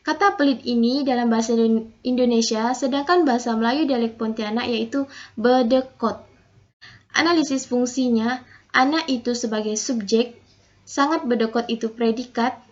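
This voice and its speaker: A young woman with a high voice works through her prepared presentation in a steady, methodical way, reading out example sentences and breaking them down one by one. The recording is dull and lo-fi. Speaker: student presenting a final assignment.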